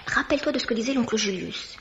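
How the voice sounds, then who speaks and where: An actress playing a persistent young amateur sleuth in a 1950s French radio mystery, with an eager, probing but polite manner. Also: female voice, fairly high pitch, animated, quick pace, a bit bright, slight background noise. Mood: curious, brisk. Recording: dull vintage radio recording.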